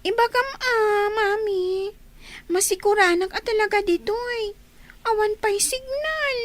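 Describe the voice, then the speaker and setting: A woman's voice: very high voice, takes it slowly, no room sound. A woman acting a whiny, bored child in a radio drama. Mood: whiny, pleading.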